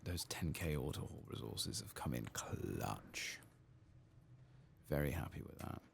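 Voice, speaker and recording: A man giving soft-spoken ASMR commentary while playing a game, murmuring to himself on a close microphone. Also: extremely low pitch, animated, measured pace, gravelly, slight background noise. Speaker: gameplay streamer.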